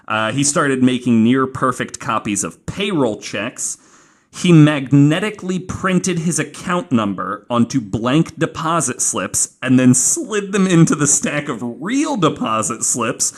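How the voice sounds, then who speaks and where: A man hosting a podcast, telling a true-crime story casually and informally from notes, with some swearing. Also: mid-range voice. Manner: informal, profane, acting out quoted remarks.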